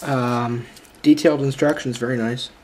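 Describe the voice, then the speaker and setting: A man hosting a casual unboxing vlog in a halting, informal way, recorded indoors on a handheld camera's built-in microphone. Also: mid-range voice, fairly even intonation, noisy background. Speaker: video host.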